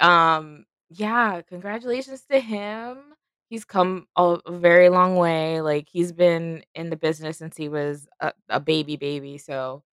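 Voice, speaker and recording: A young woman chats casually as a podcast co-host, her voice sitting a little low. She talks loosely, full of "like" and "y'all". The recording is close and dry, with no room sound. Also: fairly bright tone, smooth, dry recording. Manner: chatty, uses lots of "like" and "y'all". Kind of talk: casual chat.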